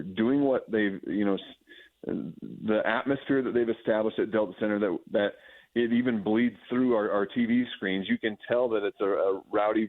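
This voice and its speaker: A man's voice: fairly low pitch, no echo. An American man, a sports anchor as a radio guest, giving effusive conversational analysis over a phone-like line, often rephrasing as he goes.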